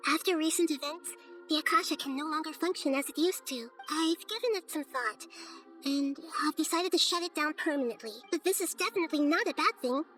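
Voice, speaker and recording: A woman voicing a gentle, wise childlike girl deity with measured, formal, earnest speech in a game cutscene. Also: high voice, soft background music. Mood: thoughtful, composed. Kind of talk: scripted game cutscene acting.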